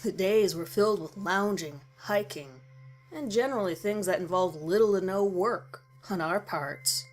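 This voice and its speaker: A woman narrates a first-person horror story at a middle pitch, reading from a script. Music plays softly beneath her voice. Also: unhurried, rough recording.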